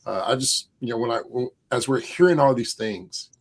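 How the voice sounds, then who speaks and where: A man co-hosting a live online summit, eager and halting, often restarting his sentences. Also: mid-range voice. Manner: enthusiastic, halting, with many restarts. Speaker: event co-host.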